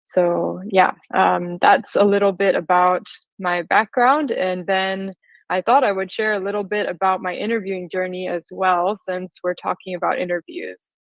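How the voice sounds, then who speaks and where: A woman's voice: lowish voice, steady tone, normal speed. A woman in her twenties with an American accent, giving a conversational presentation to an audience as a guest speaker. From California, United States. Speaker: guest speaker, software engineer. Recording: slightly roomy, dull lo-fi sound.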